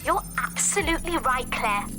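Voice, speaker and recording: A woman's voice: high voice, lively intonation, normal speed, music in the background. A woman playing a sceptical, opinionated caller on a radio show in a video game. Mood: indignant.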